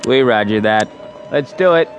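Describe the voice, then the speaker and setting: A man's voice: medium pitch, lively intonation, normal speed, music in the background, lightly acted. An actor playing a breezy, confident American airport ground crewman, clipped and colloquial, in a radio drama. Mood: businesslike.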